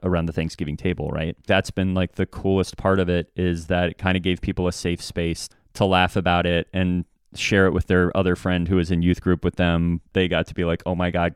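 A man's voice: sits low, clear, resonant tone, no echo, clean studio sound. A man talking casually and reflectively as a guest in an interview, often acting out bits of remembered dialogue. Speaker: podcast guest, improv comedian. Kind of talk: casual conversational interview talk.

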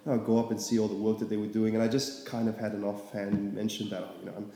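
A young man from Singapore chats loosely as an interview guest, filling his sentences with ums and asides. His voice is dark and mellow and sits a little low. The room is very echoey, with a long reverb trailing after each phrase. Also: fast talker. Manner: relaxed, rambling, many fillers. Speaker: artist guest. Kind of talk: casual interview.

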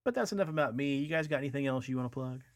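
A man's voice: mid-range voice, rapid-fire, dry recording, clean studio sound. A man co-hosting a podcast in casual chat, upbeat and slangy with frequent uh fillers. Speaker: podcast co-host and poster artist.

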